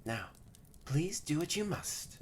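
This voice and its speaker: A woman voicing a haughty young male catboy who is secretly soft-hearted, bratty and bossy but easily flustered, in a scripted roleplay audio drama spoken to the listener. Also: deep voice, very animated, takes it slowly, slight background noise, full character voice. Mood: haughty, curious.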